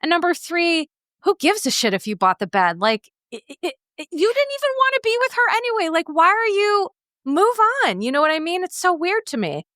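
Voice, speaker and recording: A woman hosting a podcast in casual chat, outspoken and animated, swearing freely. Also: very high voice, brisk, no echo, clean studio sound. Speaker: podcast host.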